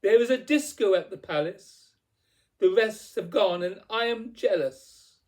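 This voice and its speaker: A man voicing a bossy, jealous young Cinderella in a storybook poem, loud, demanding and exclamatory. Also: mid-range voice, expressive, slow. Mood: demanding, exasperated.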